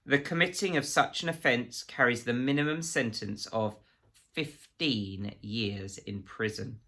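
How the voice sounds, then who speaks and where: A man's voice: medium pitch, a little slow, pushed and bright. A man playing a prissy, menacing government agent in a story, officious, pompous and precise. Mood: cold officious menace.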